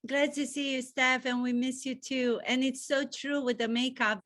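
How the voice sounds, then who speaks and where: A woman's voice: sits high, level tone, moderate pace, a bit bright. A woman acting coach hosting a video call, encouraging and full of praise for her students.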